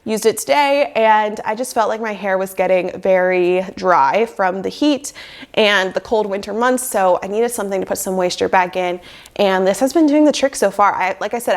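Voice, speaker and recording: A young woman in her twenties, sick with a cold and sounding nasal, chatting upbeat to camera in a small room on a lapel mic, with lots of "like" fillers. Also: mid-range voice. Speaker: lifestyle vlogger. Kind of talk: casual chat to camera. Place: small bedroom office indoors. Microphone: lapel mic plugged into a phone.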